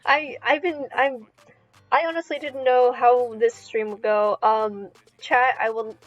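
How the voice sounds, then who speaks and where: A woman's voice: a little high, steady tone, measured pace, no echo, lo-fi sound, soft background music. A woman hosting a podcast live stream over a call, rambling and giggly as she talks to her chat.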